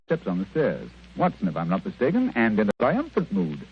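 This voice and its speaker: An actor playing a sharp, impatient and sardonic British detective in old radio drama style, theatrical and commanding. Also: male voice, fairly low pitch, huge pitch swings, a little fast, a little hiss or hum. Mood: jovial, wry. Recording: muffled vintage radio recording with music.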